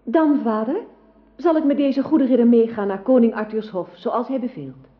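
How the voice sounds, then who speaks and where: An actress playing an innocent, obedient young princess in a radio play, demure and naive in manner. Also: female voice, fairly high pitch, normal speed, natural voice. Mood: shy, obedient. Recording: dull old radio drama recording.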